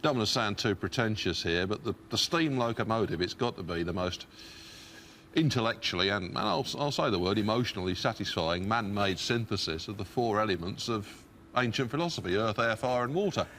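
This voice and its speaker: A man's voice: lowish voice, animated, a little fast, a bit bright, a little hiss or hum. A man on a quiz show talking with the host about his interests in an earnest, wordy, slightly self-conscious way. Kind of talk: studio chat with host.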